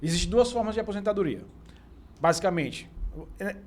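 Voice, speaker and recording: A man with a Brazilian accent hosting an informal podcast, haltingly recapping and posing hypothetical questions. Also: high-pitched, animated. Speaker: podcast host. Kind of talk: informal conversational interviewing.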